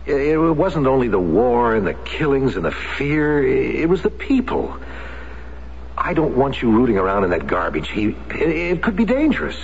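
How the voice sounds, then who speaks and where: An actor playing an agitated middle-aged husband in a radio drama, pleading in a halting, emphatic way. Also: male voice, mid-range voice, big pitch swings, vocal fry, slight background noise. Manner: halting, emphatic, repeating himself. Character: agitated husband pleading with his wife not to go. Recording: dull vintage radio recording.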